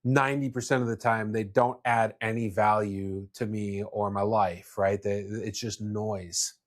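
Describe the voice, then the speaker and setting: A man's voice: lowish voice, fairly even intonation, measured pace. A man hosting a casual business discussion, speaking in a blunt, opinionated way. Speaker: podcast host.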